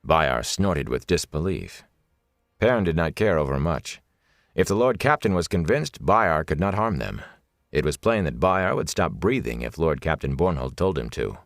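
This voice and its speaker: A man's voice: extremely low pitch, sing-song, moderate pace, no room sound, studio quality. A man reading fantasy fiction as a scripted, dramatic audiobook narration.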